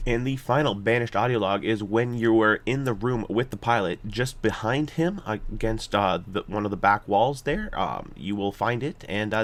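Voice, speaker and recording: A man narrating a video game walkthrough off the cuff, explaining steps in a somewhat halting, hesitant way. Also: medium pitch. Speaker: gaming guide host.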